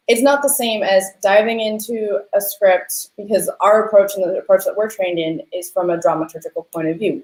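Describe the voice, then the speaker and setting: A woman's voice: on the low side, darkish tone. A woman co-hosting a conversational discussion, explaining earnestly and posing rhetorical questions as she goes. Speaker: co-host, lighting designer. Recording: roomy, echoing sound.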